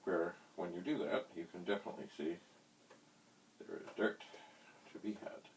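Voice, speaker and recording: A middle-aged man giving an unscripted hands-on demonstration, speaking in deliberate, broken phrases with quaint, slightly theatrical wording, recorded on a distant mic. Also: sits low. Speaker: vintage computer hobbyist presenting a how-to vlog. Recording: distant mic, faint background hiss.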